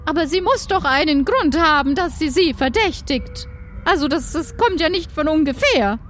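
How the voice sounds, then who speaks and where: Female adventurer actress, high-pitched, worried and questioning, improvised audio drama. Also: lively intonation, moderate pace, a bit bright, soft background music. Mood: worried, pressing.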